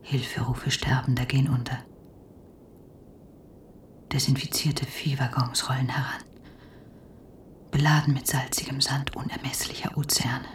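A roomy, distant radio-play recording of a haunted woman. She murmurs breathily like someone in a trance, very low and whispered, frightened and clinging. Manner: breathy, whispered, incantatory delivery. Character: entranced, frightened patient murmuring dark visions, clinging. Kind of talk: radio drama acting. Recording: roomy echo, off the mic.

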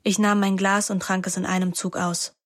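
An actress playing a melancholy teenage daughter, narrating a radio play in a measured, introspective way. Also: female voice, medium pitch, flat delivery, no echo, studio quality, natural voice. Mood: numb, wistful.

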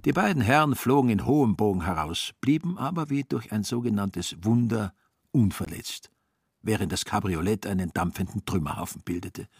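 A man narrating a literary radio play in a wry, measured storytelling manner. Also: on the low side, lively intonation, breathy edge, no echo.

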